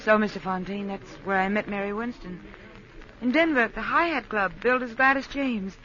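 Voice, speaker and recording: A woman's voice: medium pitch, brisk, pushed and bright, lightly acted. An American actress playing a weary, guarded young woman on the run in a 1950s radio drama. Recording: muffled, faintly noisy 1950s radio recording.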